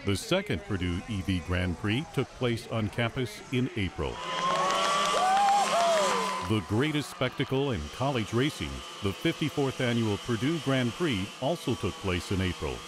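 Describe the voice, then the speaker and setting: A man's voice: medium pitch, lively intonation, a little slow, very noisy. A man with an American accent reading scripted narration for a news review. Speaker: newsreel narrator. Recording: voice-over with loud music bed. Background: loud music.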